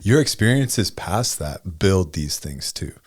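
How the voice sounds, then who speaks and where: A man's voice: low voice, big pitch swings, slow pace, slightly rough, no echo, studio quality. A man in his forties co-hosting a casual podcast, thinking aloud and often checking in with "right?" and "you know". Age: 40s. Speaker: podcast co-host.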